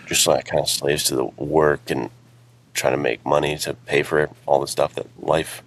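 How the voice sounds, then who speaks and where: A man's voice: very deep voice, little pitch movement, normal speed. A man chatting casually and earnestly as a podcast co-host, in an informal way. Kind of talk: casual chat.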